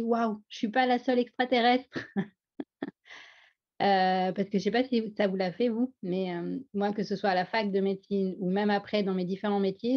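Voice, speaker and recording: Lively Parisian woman on a video call. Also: medium pitch. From France. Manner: lively, humorous storytelling. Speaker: guest. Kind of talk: webinar self-introduction. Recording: slightly dull video call audio.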